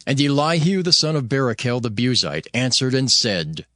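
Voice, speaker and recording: Male narrator, dramatized scripture, solemn and formal, crisp forceful tone, very dry sound. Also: mid-range voice, big pitch swings, moderate pace, no room sound.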